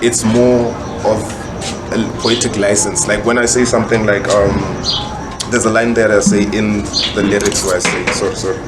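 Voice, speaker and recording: A man's voice: on the low side, level tone, normal speed. A South African man talking casually and explaining himself in slang as an interview guest, a rapper and songwriter. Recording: noisy ambience with birdsong.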